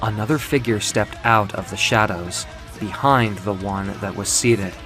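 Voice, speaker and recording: A man narrating a dark fiction story in a dramatic, theatrical and deliberate way, with music behind him. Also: fairly low pitch. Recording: music under the voice.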